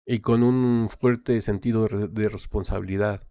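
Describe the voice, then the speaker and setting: A man's voice: fairly low pitch, steady tone, warm tone, no echo. A man hosting a reaction video, translating on the fly and hesitating often with fillers. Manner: hesitant, filling pauses with "eh" and "este" while translating. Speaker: reaction channel host. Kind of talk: casual live translation and commentary.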